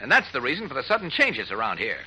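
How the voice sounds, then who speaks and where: A man's voice: mid-range voice, huge pitch swings, quick pace, pushed and bright, breathy edge, heavily acted, rough recording. A man playing an earnest, persistent newspaper reporter in 1940s radio style, urgent and reasoning. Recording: old radio recording.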